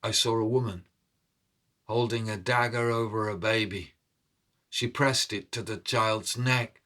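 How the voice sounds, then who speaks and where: A man telling a tale in a deliberate, suspenseful, theatrical storytelling style. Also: lowish voice, fairly bright tone. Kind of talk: dramatic oral storytelling, scripted narration. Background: musical instrument.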